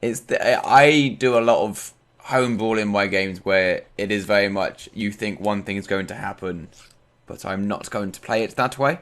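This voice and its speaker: European guy, kinda low voice, casual gamer talk show host, repeats himself a bit. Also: normal speed, fairly bright tone. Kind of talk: casual interview chat about tabletop games.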